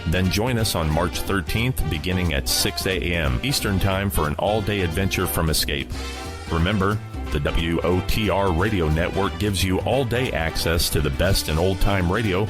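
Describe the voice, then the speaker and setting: A man's voice: sits low, moderate pace. A man hosting a podcast with scripted, radio-style announcing. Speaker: podcast host. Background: guitar music.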